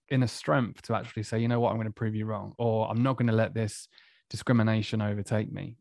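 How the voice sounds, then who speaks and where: A man's voice: on the low side, creaky, a little mellow, no room sound, clean studio sound. A man with an Essex accent hosting a podcast interview, talking in an enthusiastic and affirming way. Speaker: podcast host.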